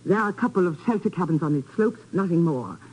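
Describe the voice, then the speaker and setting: An actress playing an earnest foreign radio station official, speaking formally and precisely in 1950s radio drama style. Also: female voice, on the low side, animated, quick pace, a little mellow, husky. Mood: grave, insistent. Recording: muffled old 1950s radio recording.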